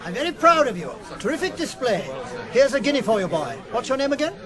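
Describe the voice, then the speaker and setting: A man's voice: very high voice, sing-song, fast, a crowd in the background. A male actor playing a hearty, boastful, patronising farm owner in a radio drama. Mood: effusive, proud.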